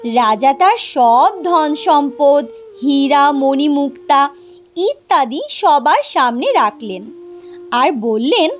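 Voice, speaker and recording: Lady narrator reading a fairy tale, slow n really singsongy up and down, music behind. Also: high-pitched, animated.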